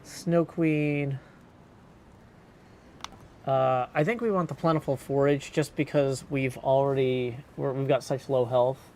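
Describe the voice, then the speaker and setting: Creaky-voiced man muttering over a game. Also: medium pitch, fairly even intonation, slow pace, a little mellow, a little hiss or hum. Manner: muttered thinking aloud. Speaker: game streamer. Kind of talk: casual gameplay commentary.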